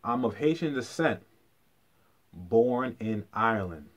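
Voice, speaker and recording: A man's voice: mid-range voice, expressive, slow. Emphatic man lecturing informally. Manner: emphatic, repeats key phrases for effect; colloquial, punctuated with rhetorical questions.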